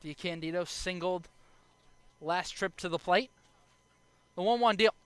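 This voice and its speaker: A man's voice: sits high, unhurried, clean tone, no room sound. A young American man calling baseball play-by-play as a live broadcast commentator. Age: young adult.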